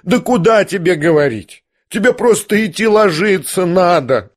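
A man's voice: sits high, expressive, moderate pace, voice pushed up. A man reading an audiobook, voicing an older noblewoman who scolds and lashes out in indignant outbursts. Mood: alarmed scolding.

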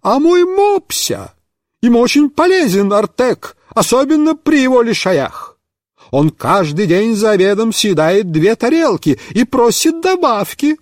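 A man putting on a shrill, indignant woman neighbor. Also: sing-song, no echo, clean studio sound, full character voice. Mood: shrill outrage. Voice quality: shrill, squealing. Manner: drawn-out, outraged exclaiming.

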